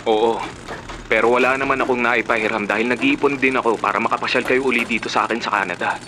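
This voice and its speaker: A man's voice: mid-range voice, fairly even intonation, quick pace, background noise, lightly acted. A man playing an outspoken, generous elder brother in a Filipino radio drama, with a Filipino accent and an emphatic, sardonic delivery. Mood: inquiring.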